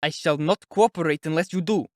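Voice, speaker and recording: Casting: male narrator as angry, defiant young man, raised pitch, forceful delivery, classic novel audiobook. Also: expressive, fairly quick, no echo, clean studio sound. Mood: exasperated, defiant. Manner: resolute, irritable, near shouting. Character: resentful, irritable young male visitor confronting an investigator. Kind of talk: audiobook character acting.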